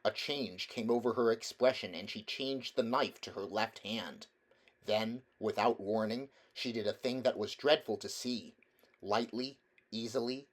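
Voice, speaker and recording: A man reads a storybook aloud in a middle-pitched voice. It is scripted narration from an amateur audiobook reader.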